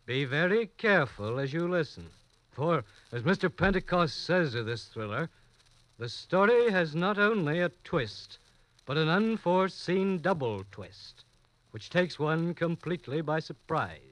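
A man hosting and narrating an old radio mystery show in a measured, formal manner. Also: a little high, very animated, a bit bright, no echo, low-quality recording. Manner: measured, formal storytelling delivery. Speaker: host and narrator. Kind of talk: 1940s radio drama narration. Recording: old 1940s radio broadcast.

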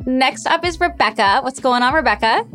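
High-pitched bubbly woman host. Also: expressive, normal speed, fairly bright tone, soft background music. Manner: bubbly, laughs often. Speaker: podcast host. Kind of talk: upbeat hosting.

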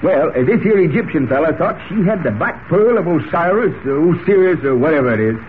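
A folksy, stubborn cowboy voiced by a male actor drawls in an American Western accent, his raised voice leaping up and down excitably. It is broad radio serial acting on a thin vintage recording with faint hiss. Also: a little high, a little fast, narrow, tinny audio, natural voice. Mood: folksy storytelling.

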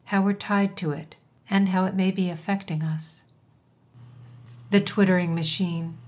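A woman poet introducing and reciting her own work with deliberate, measured phrasing and pauses. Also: lowish voice. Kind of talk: poetry reading with scripted introductions.

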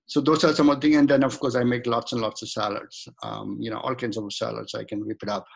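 A middle-aged man from India speaks in a gravelly voice with a touch of vocal fry. He rambles through a casual interview, restarting his sentences and filling the gaps. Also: medium pitch, steady tone, quick pace. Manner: rambling, many restarts and fillers. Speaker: guest, home cook and shaving-product maker.

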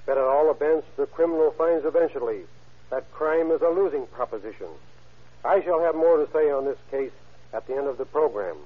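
A man's voice: fairly high pitch, fairly quick. A man with an American accent reading a stiff, earnest, formal address as a police official on old radio. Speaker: police chief, guest speaker. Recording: thin, narrow-band vintage radio recording.

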